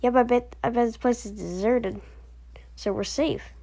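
A woman doing amateur voice acting, playing all the characters of an animated story herself. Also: on the high side, moderate pace, creaky.

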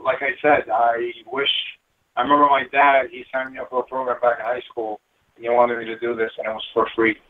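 A middle-aged man talking casually and earnestly as an interview guest, peppering his speech with "you know". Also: mid-range voice. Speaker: guest, building engineer. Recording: thin phone-line sound.

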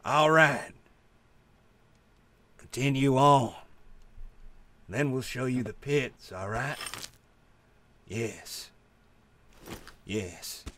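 A man's voice: mid-range voice, very slow, long pauses, a bit bright, slight background noise. A man roleplaying a bossy, profane rural brother who hollers orders in a comedic game session. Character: loud bossy rural swamp brother, menacing.

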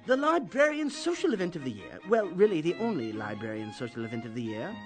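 Mock news narrator for a game: proper, droll, very animated intonation, music underneath. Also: female voice, low-pitched, fairly quick. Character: droll, proper newsreader for a librarians' digest.

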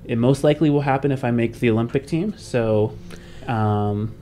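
A young man hosting a podcast in casual, halting talk that drifts into asides. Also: medium pitch, mellow, a little hiss or hum. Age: young adult. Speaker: podcast host and game master.

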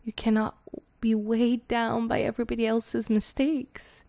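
A woman voicing a centuries-old vampire girlfriend, wistful and protective, in soft, intimate ASMR roleplay that drifts into whispers. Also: a little high, little pitch movement, no room sound. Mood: wistful, reflective. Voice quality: soft, breathy whispery moments. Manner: slow, hesitant, sighing between phrases.

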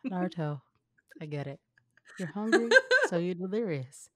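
Woman playing a weary, low-pitched young ninja man. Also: lively intonation, slow. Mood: resigned. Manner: resigned, sighing. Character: weary, laid-back young male ninja. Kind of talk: fan fiction reading.

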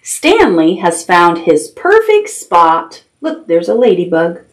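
Middle aged lady doing toddler storytime, reads slow n sing-songy w lots of up and down, asks little questions. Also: lowish voice. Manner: simple, expressive, questioning talk aimed at toddlers. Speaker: children's library storytime host. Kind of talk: read-aloud picture-book storytelling with animated asides. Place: small decorated indoor room with puppets.

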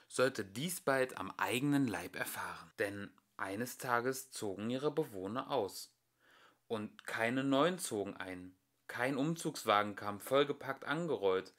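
Animated young man reading a children's story. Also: medium pitch. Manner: theatrical storytelling, addresses young listeners directly. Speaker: amateur audiobook reader. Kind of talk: expressive children's story narration.

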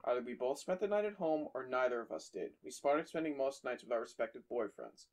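A man's voice: mid-range voice, animated, a little fast, darkish tone. A man reading a horror story aloud on a podcast, stopping for jokey asides to his friends. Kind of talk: casual story reading with banter.

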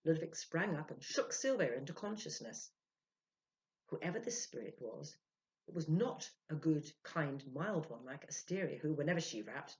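A woman narrating a story in a theatrical, expressive way. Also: sits low. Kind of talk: dramatic scripted story narration. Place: indoor room, plain walls. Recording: dull, lo-fi sound.

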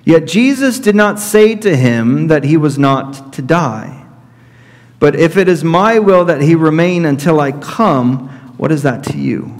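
A man in his thirties preaches from a church pulpit, taking each point slowly and going back over it. His voice sits a touch high for a man, and the sound rings with the long reverb of a church sanctuary. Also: expressive. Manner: slow, deliberate teaching with repeated points. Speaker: pastor. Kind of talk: expository sermon. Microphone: fixed camera at middle distance.

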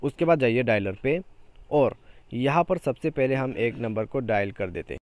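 A man's voice: mid-range voice, animated, moderate pace, a little mellow, dry recording. A man presenting an informal step-by-step phone tutorial as a YouTuber, often tagging his sentences with a short check-in phrase.

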